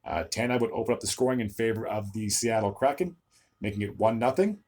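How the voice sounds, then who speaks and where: A young man reads through hockey scores in a casual solo recap, his voice a little low and husky from a long run of recording. He trips over player names and leans on "uh" between stats, then pushes on through the summary. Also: brisk, a little mellow. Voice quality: slightly raspy, tired voice. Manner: stumbles over player names, frequent "uh" fillers. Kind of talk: solo sports recap video.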